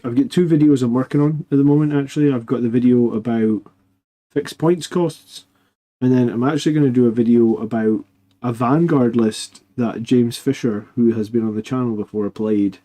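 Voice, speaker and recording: Guy hosting a live stream, voice nearly gone so he talks soft, lots of ums and restarts. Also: mid-range voice, steady tone, moderate pace. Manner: rambling, with many fillers and restarts. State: losing his voice, speaking quietly. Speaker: host of a live army list show. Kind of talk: casual live stream chat.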